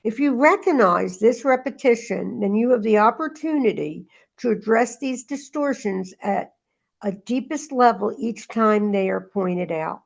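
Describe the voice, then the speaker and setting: Woman with a creaky, gravelly voice. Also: fairly high pitch, animated, unhurried. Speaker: study group reader and discussion leader. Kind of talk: reading aloud and informal spiritual discussion.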